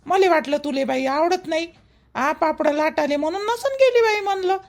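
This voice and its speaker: A woman's voice: extremely high pitch, lively intonation, moderate pace. A woman voicing a middle-aged, protective, gossipy mother-in-law in a village cartoon, emphatic and repetitive, with a Vidarbha Marathi accent. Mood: surprised, probing. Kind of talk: rural family cartoon dialogue.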